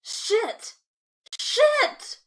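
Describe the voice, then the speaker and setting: Woman actor as a frightened survivor, high voice, widely swinging pitch, emotional, zombie horror comic dub. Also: very animated, very slow, exaggerated voice. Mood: alarmed, frantic.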